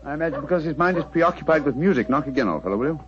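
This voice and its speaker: An actor playing an incisive, self-assured British gentleman detective with clipped, theatrical diction, in 1940s radio style. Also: fairly high pitch, expressive, racing, natural voice. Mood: dryly amused. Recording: dull vintage radio broadcast recording.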